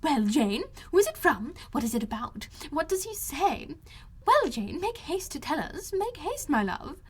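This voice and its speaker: A middle-aged mother from a classic novel, pitched very high. She is fussy and scheming, gushing her words with insistent emphasis. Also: very animated, a little slow. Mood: eager, impatient.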